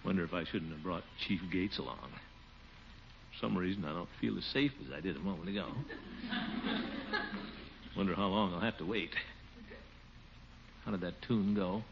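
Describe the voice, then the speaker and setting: A man's voice: low voice, moderate pace, gravelly, long pauses. A man playing a pompous, gullible, jittery police commissioner in a blustery, chuckling 1940s radio comedy style. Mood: nervous. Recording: muffled, noisy 1940s radio recording.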